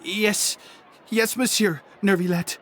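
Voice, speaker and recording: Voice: medium pitch, steady tone, takes it slowly, a bit bright, a little hiss or hum. An actor playing a nervous, cowed underling in a video game, hesitant and stammering. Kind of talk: video game character acting.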